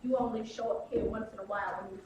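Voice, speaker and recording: An actress as a loud, scolding wife. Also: female voice, a little high, fairly even intonation, fast, natural voice. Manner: shouting, nagging. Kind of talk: 1950s film drama. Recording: echoey, distant, hissy old film soundtrack.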